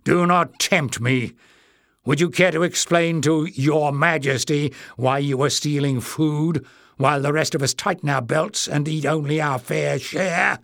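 A man voicing a big, gruff, quick-tempered man with a growling, commanding delivery in an audiobook. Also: medium pitch, a bit bright. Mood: growling menace. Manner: thundering, snapping, commanding. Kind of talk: acted character voice in audiobook narration.

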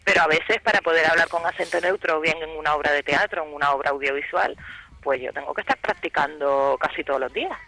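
A woman's voice: low voice, quick pace, bright, forceful tone, low-quality recording. A woman with a Canarian accent, an actress and journalist speaking in a casual panel discussion, thoughtful and lifting her voice when acting out imagined questions. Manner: reflective, rising emphasis when voicing imagined questions.

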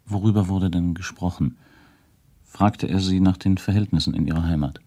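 An actor playing a sober, neutral investigator who records witness accounts in a clipped manner for a documentary radio drama. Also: male voice, low-pitched, moderate pace, warm tone. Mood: inquiring. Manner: matter-of-fact, clipped, neutral.

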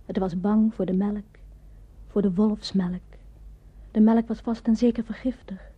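A woman's voice: medium pitch, fairly even intonation, a little slow, breathy edge. An actress playing a grieving, haunted woman telling of a family tragedy in a radio drama. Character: grieving woman telling of her dead sister's starving child. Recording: dull muffled old radio recording.

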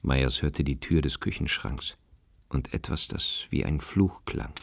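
A man's voice: very deep voice, animated, a little slow, creaky, no room sound, full character voice. A man narrating a literary radio play as a detached, observant storyteller, with guitar music behind him. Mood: observant, measured. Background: plucked guitar music.

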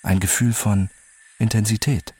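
An actor playing a detached accident survivor who narrates his own story deliberately and precisely in a radio drama. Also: lowish voice, steady tone, a little mellow, breathy edge. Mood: absorbed, calm. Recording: music under the voice.

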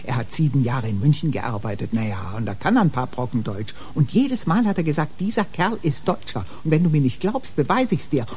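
An actress playing a talkative, observant married neighbour eager to help the police, chatty and emphatic, in a radio crime drama. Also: female voice, low-pitched, a little mellow, slightly rough, exaggerated voice.

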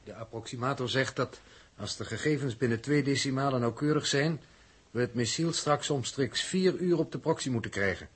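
A Dutch actor playing a businesslike spaceship crewman giving reports in a 1960s radio drama, with a husky voice. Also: mid-range voice, normal speed, natural voice.

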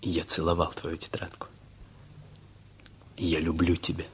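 An actor playing a shy, sincere teenage boy in love in a radio play, soft, hesitant and earnest. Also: sits low, completely flat delivery, a little slow, breathy edge, full character voice. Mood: tender, devoted. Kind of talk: Russian radio play acting.

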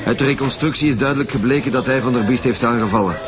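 Guy playing a flemish cop, factual, mid pitch, radio drama with music. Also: racing, close to an everyday voice. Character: male police detective, factual, companionable.

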